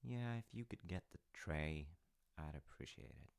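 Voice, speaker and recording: Deep, creaky-voiced gentle dad played by a man. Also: expressive, normal speed. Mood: gentle, musing. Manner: gentle, hesitant, musing, softly wry. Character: warm, gently fussy middle-aged dad at teatime. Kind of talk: intimate audio roleplay, improvised-sounding chat.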